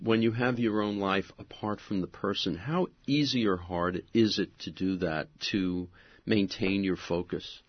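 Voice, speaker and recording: A man interviews a guest, asking calm, measured questions in a lowish, husky voice. The recording is dry and somewhat dull. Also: no room sound.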